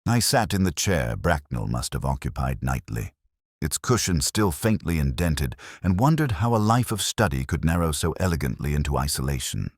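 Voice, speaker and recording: A man with a very deep voice narrates a literary mystery. His delivery is measured and melancholic, yet it rises and falls widely in pitch. The sound is close and dry, with no room around it. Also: no room sound. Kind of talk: scripted first-person mystery narration.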